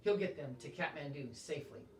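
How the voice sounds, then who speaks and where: A man reading aloud voices a calm, steady male mountain guide who speaks plainly. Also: fairly high pitch, a little fast, a little hiss or hum, natural voice. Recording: echoey room, voice from a distance.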